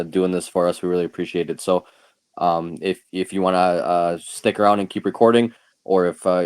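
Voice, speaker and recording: A man speaking in a laid-back, friendly way in a casual group call. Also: low voice. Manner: laid-back, friendly, calls people brother.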